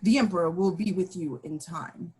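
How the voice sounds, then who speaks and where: A woman's voice: fairly low pitch, normal speed, a little mellow, breathy edge. A woman playing a stern, haughty imperial court official in an amateur script reading, speaking formally and cautioningly. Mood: formal, warning. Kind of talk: amateur script table read.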